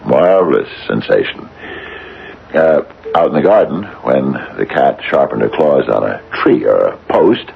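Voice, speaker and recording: An older widower in a radio drama, with a very deep, creaky voice. He is nervous and a bit eccentric, stammering and doubling back on his words. The old radio recording is dull and noisy. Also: heavily acted. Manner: hesitant, stammering, repeating himself. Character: hesitant, nervous retired widower near sixty, mildly eccentric.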